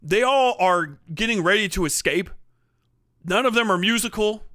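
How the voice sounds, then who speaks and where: A man doing a casual, profane comedic rant on a livestream, animated and slipping into mocking impressions. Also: sits high, moderate pace. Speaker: streamer.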